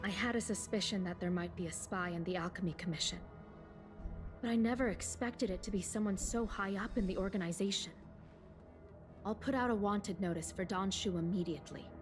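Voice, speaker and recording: A woman's voice: mid-range voice, natural voice. An actress voicing a composed, shrewd woman official in a video game, speaking formally and articulately. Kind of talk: video game character dialogue.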